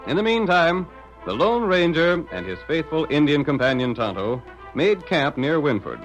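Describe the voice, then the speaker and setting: Lively old-time radio narrator, man. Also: on the high side, normal speed, soft background music, poor audio. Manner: dramatic, announcer-like. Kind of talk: scripted 1930s radio narration.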